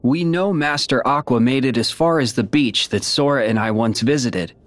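A man's voice: medium pitch, moderate pace, no room sound, close to an everyday voice. Man playing a cool, serious young warrior. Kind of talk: animated video game acting.

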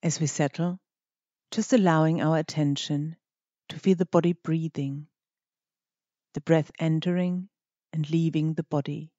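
A meditation guide with a mellow, relaxed voice leads the listener gently through each instruction. The delivery is measured, with deliberate pauses between short phrases. The recording is close and dry, slightly dull in tone. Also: mid-range voice, dry recording.

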